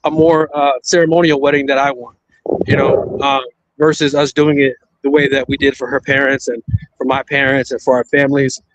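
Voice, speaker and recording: A man's voice: mid-range voice, flat delivery, a little fast, highs cut off. A married man on a discussion panel, talking in a loose, rambling way and often adding "you know what I mean.".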